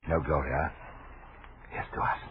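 An actor in a 1940s radio drama playing a courteous, lonely and menacing gentleman suitor who speaks with hesitant, insinuating formality. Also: a bass voice, fairly even intonation, measured pace, husky, full character voice. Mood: coaxing. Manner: soft-spoken formal politeness, hesitant, insinuating. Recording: thin, phone-like 1940s radio recording.

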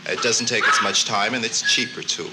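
A man's voice: fairly low pitch, steady tone, moderate pace, very noisy. A man explaining options to a customer in a plain-spoken, matter-of-fact way. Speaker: dog groomer.

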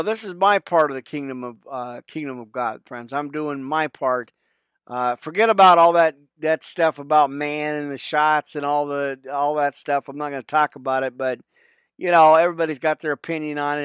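Rambling man with a smooth, dry voice. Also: on the high side, fairly bright tone, no echo. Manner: rambling, many fillers, self-deprecating laughs. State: has a cold with a cough. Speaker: podcast host. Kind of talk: rambling casual devotional chat.

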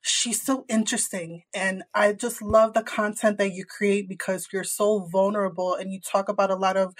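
A woman's voice: mid-range voice, level tone, moderate pace. A young woman hosting her own podcast, talking in a bubbly, blunt way with casual swearing. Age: young adult. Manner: bubbly, blunt, uses profanity. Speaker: podcast host.

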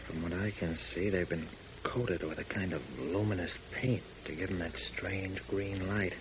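An actor playing a calm, decisive young pilot hero in a 1940s radio drama, giving firm, clipped orders. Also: male voice, sits low, a little slow. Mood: urgent. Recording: hissy old radio broadcast.

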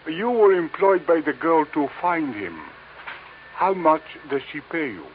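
A man's voice: a little high, big pitch swings. An actor playing a small, formal, politely menacing gunman in a vintage radio drama. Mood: smooth, insinuating. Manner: formal, measured, overly polite. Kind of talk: 1950s radio drama acting. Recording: tinny, hissy old radio recording.

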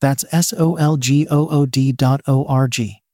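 A man's voice: mid-range voice, steady tone, moderate pace, mellow, no echo, studio quality. A man delivering a scripted promotional announcement as an advertising voice.

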